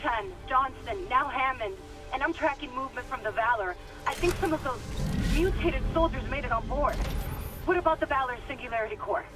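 A woman's voice: high-pitched, brisk, natural voice. An actress playing a tense female crewmate in a video game, urgent and clipped. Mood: urgent concern. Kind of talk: naturalistic video game acting. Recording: voice under loud music.

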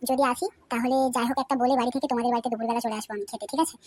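A woman's voice: a little high, steady tone, racing, lightly acted. A woman doing a brash foul-mouthed cartoon lad. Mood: eager. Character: cheeky crude young village man. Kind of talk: cartoon voice acting. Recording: loud background music.

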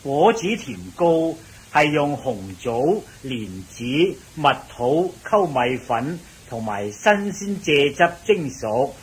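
A man's voice: a little high, lively intonation, takes it slowly, slight background noise, rough recording. A man with a Hong Kong Cantonese accent playing an obsequious royal servant in a radio drama, eager to please. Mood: deferential.

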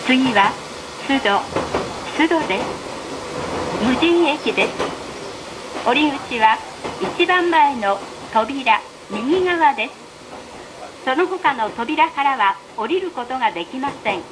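A woman's voice: sits high, animated, takes it slowly, pauses often. A woman reading a scripted train announcement in a formal, evenly enunciated way, over the carriage speakers. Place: played over speakers inside a moving train. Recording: train PA with clear running noise. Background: train running noise.

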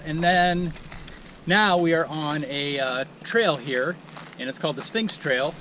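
Man, 40s, family vlogger, relaxed and talkative, slightly high voice, handheld camera outdoors. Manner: easygoing, chatty, walking while talking. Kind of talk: casual vlog narration. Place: outdoors on a forest trail. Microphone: action camera held at arm's length. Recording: faint outdoor noise.

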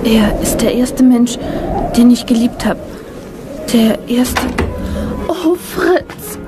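A woman's voice: on the high side, slow, over music. An actress playing a heartbroken, fragile young woman in a radio drama, crying as she speaks. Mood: tearful.

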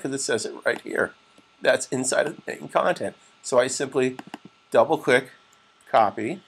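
A middle-aged man with a raspy, strained voice, giving a patient step-by-step software tutorial as an instructor. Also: mid-range voice. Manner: plain, patient step-by-step explaining with frequent "okay?". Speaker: web design instructor. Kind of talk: screen-recorded software tutorial.

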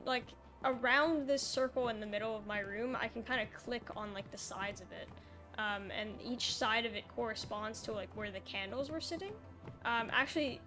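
Young female gamer, higher-pitched, casual and hesitant, talking through puzzles over background music. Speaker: gamer playing a co-op puzzle game. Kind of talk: casual gameplay chat.

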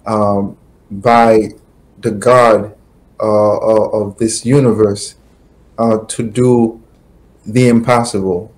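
A middle-aged man from Haiti answering interview questions slowly over a video call, pausing often with fillers. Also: lowish voice, flat delivery, darkish tone, low-quality recording. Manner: slow, halting, many fillers. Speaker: guest, attorney. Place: home office, indoors. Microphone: webcam video call.

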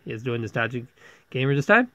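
A man's voice: mid-range voice, sing-song, fast talker, sharp tone, no echo. A man giving a casual, rambling movie review as a video host, exclaiming in exasperation and sometimes stammering over his words. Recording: dull, lo-fi close microphone.

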